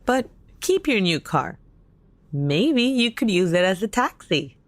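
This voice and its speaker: An actress playing a supportive, practical young best friend in an audio drama, with a reassuring, casual way of talking. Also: female voice, medium pitch, sing-song, measured pace, no echo. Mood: concerned, practical. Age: young adult.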